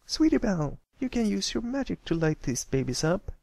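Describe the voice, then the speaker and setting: A man's voice: high-pitched, sing-song, normal speed, gravelly, a little mellow, dry recording, voice pushed up, full character voice. A man voicing a brash, bossy young pony filly with an impatient, complaining manner in a cartoon fan story reading. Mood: eager, demanding.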